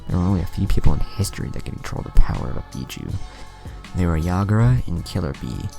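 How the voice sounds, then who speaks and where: Older roguish ninja master played by a man, rock-bottom pitch, fatherly and teasing, anime fan fiction. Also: expressive, quick pace, gravelly, music in the background. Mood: astonished. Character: older male ninja mentor, roguish, proud, jovial godfather.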